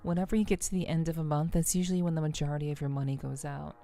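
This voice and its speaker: A soft-spoken woman streamer chatting casually and unhurriedly with her live viewers in an ASMR style, rambling a little hesitantly. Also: deep voice, fairly even intonation, racing. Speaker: livestreamer, VTuber host. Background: faint music.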